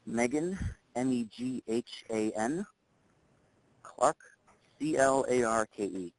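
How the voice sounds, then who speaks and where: A man's voice: medium pitch, slow, no room sound. A man playing a middle-aged dentist with an American accent, giving a hesitant, matter-of-fact witness report in a simulated phone call. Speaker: caller reporting an incident.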